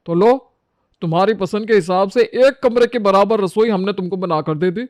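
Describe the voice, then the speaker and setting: A man's voice: sits high, normal speed, a little mellow, no echo, studio quality, lightly acted. A man playing an exasperated, thrifty middle-aged Indian husband in a story, lecturing and sarcastic. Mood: sarcastic complaint.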